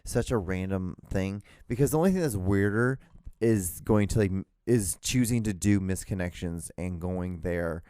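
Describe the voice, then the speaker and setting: A man with a very low voice hosts his own show alone, rambling and restarting his sentences. He has a loud voice, recorded dry and close on a handheld recorder. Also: moderate pace, clean tone, dry recording. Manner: rambling, lots of fillers and restarts. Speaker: podcast host. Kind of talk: solo rambling podcast chat. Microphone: handheld digital recorder.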